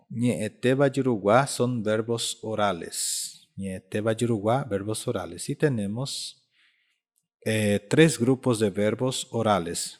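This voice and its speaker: A man in his thirties teaching a language lesson, saying each phrase slowly and repeating it several times. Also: lowish voice. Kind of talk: slow spoken language lesson.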